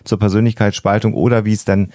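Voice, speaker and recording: A man's voice: on the low side, level tone, fast talker, mellow, no echo, clean studio sound. A man explaining things conversationally as a podcast co-host, often repeating words for emphasis.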